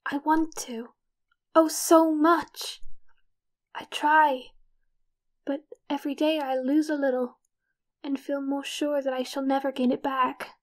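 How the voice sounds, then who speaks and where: An actress playing a gentle, frail, resigned young woman of nineteen in an audio drama, speaking haltingly. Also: high voice, little pitch movement. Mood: weary, resigned.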